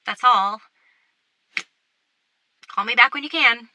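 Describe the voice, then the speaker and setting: Bright-voiced concerned mother. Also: on the high side, slow pace, dry recording, highs cut off, exaggerated voice. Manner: tentative, caring. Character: gently concerned mother leaving a voicemail for her son. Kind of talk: audio drama acting.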